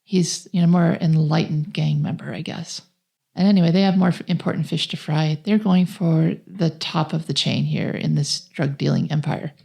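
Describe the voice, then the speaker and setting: A woman's voice: sits low, steady tone, moderate pace, vocal fry, dark tone. A woman co-hosting a podcast, recapping a film's story in a conversational way. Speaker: podcast co-host. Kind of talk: conversational film plot recap.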